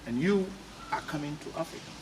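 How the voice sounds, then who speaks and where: A man's voice: fairly high pitch, expressive, a little fast. A man with a Ghanaian accent hosting an interview, asking emphatic, repetitive questions. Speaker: host, interviewer. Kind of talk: on-camera interview for an online talk show. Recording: clearly noisy ambient background.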